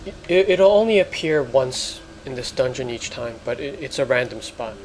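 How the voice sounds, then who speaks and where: A man giving casual, halting gameplay commentary as a let's player. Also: mid-range voice, animated, a little mellow, slight background noise, poor audio.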